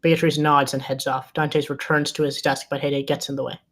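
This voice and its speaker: Narrator for a film table read, medium pitch, scripted scene descriptions and action lines. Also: level tone, normal speed, a bit bright. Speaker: stage direction narrator.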